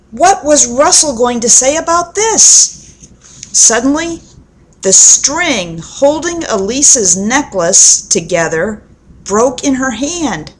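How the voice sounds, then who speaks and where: A woman telling a children's story aloud in an expressive, deliberate storybook manner, with dramatic pauses. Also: high voice, poor audio.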